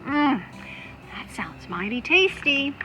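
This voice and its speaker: A friendly policewoman in a storybook, as a woman reader puts her on, savoring her words in a folksy way. The voice is fairly high and animated. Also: takes it slowly, soft background music, exaggerated voice, rough recording. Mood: appreciative. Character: friendly policewoman, hungry and appreciative.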